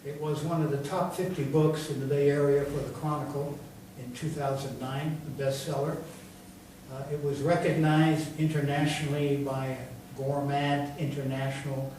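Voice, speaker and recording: Guy hosting an event, reads off awards slowly w/ some uhs, faint hiss, lots of echo. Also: mid-range voice. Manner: reads out awards in a measured way, with fillers. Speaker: event host introducing the speaker. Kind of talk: formal introduction. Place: large echoey room from far off. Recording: echoey room, distant mic, faint noise.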